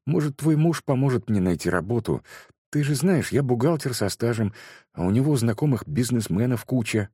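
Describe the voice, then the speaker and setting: A man doing a weary soft-spoken young mum. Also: medium pitch, expressive, moderate pace, slightly rough, no room sound, clean studio sound, pitched above the performer's own voice. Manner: soft, sighing, timid. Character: weary struggling young mother.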